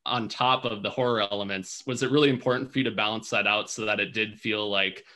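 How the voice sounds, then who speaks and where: A man's voice: lowish voice, fairly even intonation, a little fast, sharp tone. A man hosting a film Q&A over video, polished and enthusiastic in how he frames his questions. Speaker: host. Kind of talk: interview hosting.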